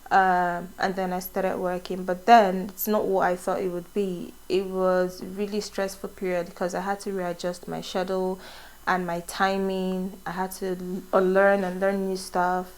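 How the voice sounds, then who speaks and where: A woman's voice: on the low side, flat delivery, measured pace, rough recording. A young adult woman with a Nigerian accent, whose first language is not English, chatting casually in a vlog, laughing often and wandering into asides. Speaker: vlogger. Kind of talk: casual get-to-know-me vlog.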